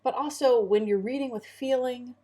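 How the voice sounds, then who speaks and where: A woman's voice: a little high, moderate pace, warm tone. A middle-aged woman chatting casually as a co-host and homeschooling parent. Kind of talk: casual conversational talk.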